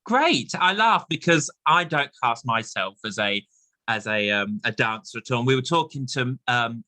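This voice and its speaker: A man hosting a casual chat interview in a chatty, animated way. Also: mid-range voice, dry recording, low-quality recording. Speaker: interviewer, chat show host.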